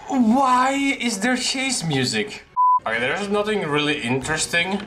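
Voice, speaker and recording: Young man with very lively pitch, gaming. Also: unhurried, background noise. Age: young adult. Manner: exclamatory, repeats short phrases. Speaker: gaming video creator playing a horror game. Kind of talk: casual, reactive gameplay commentary. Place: small room at home, indoors.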